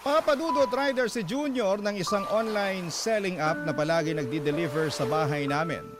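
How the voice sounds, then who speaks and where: A man's voice: sits high, normal speed, heavy background noise, natural voice. A man voicing a letter writer, a mother recounting past heartbreak, as first-person radio drama narration. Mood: reflective recounting. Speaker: narrator reading a letter sender's story. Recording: loud music bed under voice.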